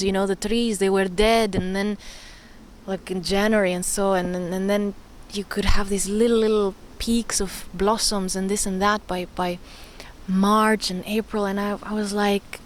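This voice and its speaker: A young woman hosting a spiritual vlog, talking warmly and encouragingly, repeating words and laughing often. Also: medium pitch, little pitch movement, moderate pace, a little hiss or hum. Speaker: spiritual channel host. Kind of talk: personal spiritual vlog monologue.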